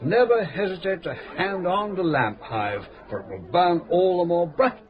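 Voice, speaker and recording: An actor playing a tipsy, grandiose older English gentleman in a radio drama, effusive and florid, repeating himself. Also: high-pitched, expressive, normal speed, muffled recording, some chatter behind. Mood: tipsy, jovial. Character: tipsy, grandiose, retired gentleman from London, genial and roguish. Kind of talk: British radio drama acting. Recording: radio play in noisy pub.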